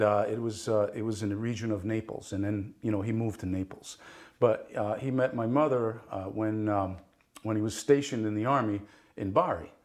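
A middle-aged man, born in Italy with Italian as his first language, talking casually as an interview guest, rambling with many fillers and frequent laughs. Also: on the low side.

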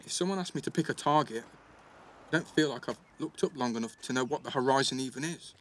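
A man's voice: mid-range voice, fast talker, close to an everyday voice. A young man playing a defensive, sweary teenage schoolboy in a naturalistic audio drama, with an East Midlands English accent and a blunt, slangy delivery. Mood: low, vulnerable. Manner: blunt, slangy, full of tag questions.

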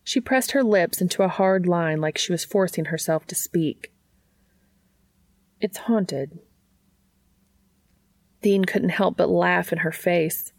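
Low-pitched woman narrator, close dry sound. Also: normal speed, few pauses, dry recording. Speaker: podcast host and reader. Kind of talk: scripted narration of fan fiction.

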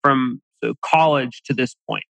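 A man's voice: medium pitch, a little slow, vocal fry, fairly bright tone, no room sound. A man hosting a podcast interview, friendly and a little hesitant, often restarting his sentences. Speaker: podcast host.

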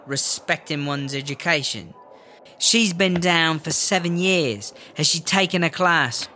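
A man's voice: a little high, bright, forceful tone. A man playing a condescending, defensive prisoner in a comedy audio drama, full of rhetorical questions. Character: smug, dismissive British inmate proud of studying. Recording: noisy room ambience.

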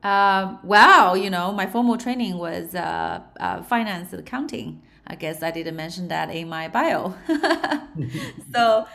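Interview guest: young woman, Australian-tinged Chinese accent, fairly low voice, pauses to search for words. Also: lively intonation, creaky, a bit bright. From China. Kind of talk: interview answers.

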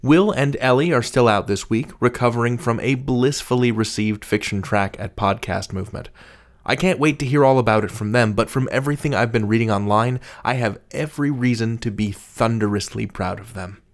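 A man's voice: medium pitch, brisk. A man hosting a podcast interview in a conversational style.

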